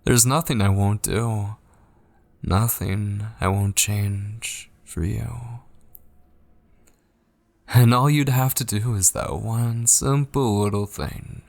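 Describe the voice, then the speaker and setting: A man playing an obsessive, possessive kidnapper and coaxing lover in an intimate, whispery ASMR roleplay, husky and close to the mic. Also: on the low side, fairly even intonation, a little mellow, no echo. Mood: menacing, devoted. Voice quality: husky, breathy, often dropping into whispers. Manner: slow, coaxing, possessive endearments. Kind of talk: intimate ASMR roleplay, scripted dramatic monologue. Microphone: close studio mic, dry.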